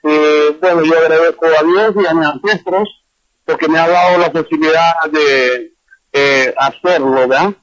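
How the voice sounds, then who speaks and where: Honduran musician on a dull, dry call line. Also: male voice, on the high side, normal speed, no echo. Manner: relaxed, rambling with many fillers. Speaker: guest musician. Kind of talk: online class interview, casual storytelling.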